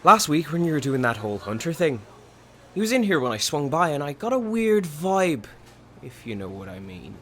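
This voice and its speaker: Surly, swooping-voiced Styx. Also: male voice, on the high side, big pitch swings, normal speed, slight background noise. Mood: gruff, impatient. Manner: gruff, curt, sarcastic. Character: Styx, surly, short, intimidating male best friend. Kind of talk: audio drama dialogue.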